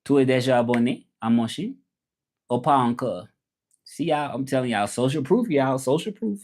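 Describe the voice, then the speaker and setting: A man's voice: medium pitch, moderate pace, a little mellow. Casual Southern American man chatting live. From southern United States. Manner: colloquial, repeats phrases. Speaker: livestream host, language content creator. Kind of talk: casual livestream chat, switching between English and French.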